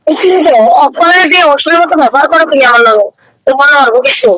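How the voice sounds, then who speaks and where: High, bright woman, eastern Bengali dialect. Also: animated, quick pace, no echo, poor audio. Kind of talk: casual bantering chat.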